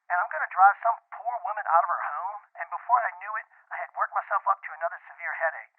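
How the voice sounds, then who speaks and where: A man's voice: high voice, fast. A man playing a fretful, fussy businessman over a telephone in an amateur radio drama. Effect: telephone filter. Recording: thin tinny telephone line sound.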